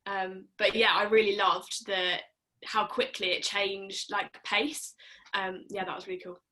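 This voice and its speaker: A woman's voice: mid-range voice, flat delivery, a little slow, bright, forceful tone, low-quality recording. A woman giving casual feedback as a cast member, thoughtful and hesitant. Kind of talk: casual discussion.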